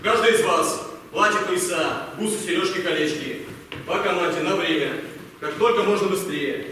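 Echoey high-voiced, stern army captain played by a man. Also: normal speed, sharp tone. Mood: commanding. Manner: commanding, sardonic. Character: stern, mocking army captain drilling women recruits. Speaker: actor in an amateur troupe. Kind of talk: amateur stage-style radio play acting. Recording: echoey hall, distant mic, faint noise.

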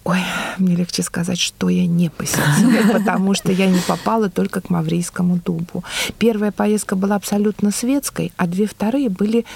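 A middle-aged woman from Russia speaking as a radio interview guest, telling stories in an animated, emphatic conversational manner. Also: mid-range voice, normal speed, vocal fry, slightly rough. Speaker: guest, museum worker and tour guide.

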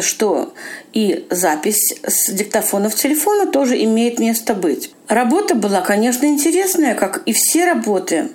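A woman's voice: mid-range voice, warm tone. A woman, an amateur audio-play actress, speaking reflectively and informally about her own experience. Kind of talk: reflective spoken account for a radio feature.

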